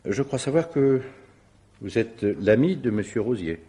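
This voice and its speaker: An actor playing a courteous, probing police officer in a French radio mystery drama, formal and politely insistent. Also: male voice, medium pitch, animated, unhurried, mellow, breathy edge.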